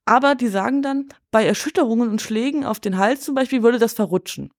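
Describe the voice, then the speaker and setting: A woman talks casually on a film podcast at a brisk pace. Her wording is colloquial and blunt, and the recording is dry, with very little room sound. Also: on the high side, dry recording. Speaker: podcast guest.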